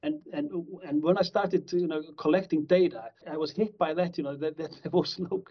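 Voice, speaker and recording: A man's voice: fairly high pitch, fairly quick, husky. A middle-aged man from Iceland, a professor being interviewed, who rambles with many fillers and restarts. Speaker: guest criminology professor. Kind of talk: conversational interview answers. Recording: slightly dull lo-fi audio.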